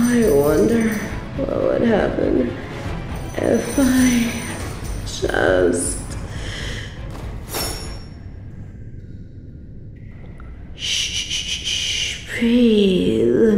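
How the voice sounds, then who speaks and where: A woman playing an evil, possessive medieval queen in a roleplay audio drama, haughty and theatrical with drawn-out, breathy asides. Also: lowish voice, roomy sound, heavily acted. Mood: gloating, cooing. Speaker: voice actor in a scripted roleplay audio.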